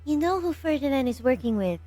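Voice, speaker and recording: High-pitched, shrewd wry woman, played by an amateur. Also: sing-song, a little fast, dark tone, faint music behind. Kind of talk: amateur game fan dub.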